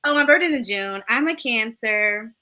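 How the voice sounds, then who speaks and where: A woman's voice: a little high, lively intonation, normal speed, heavy background noise. A woman of about twenty-nine co-hosting a casual discussion, speaking in a supportive, agreeing way. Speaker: podcast co-host.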